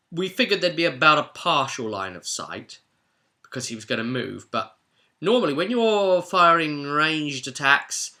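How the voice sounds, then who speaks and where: A man's voice: medium pitch, bright, forceful tone. A man speaking as game master, giving a chatty, rambling, explanatory recap of a tabletop role-play session.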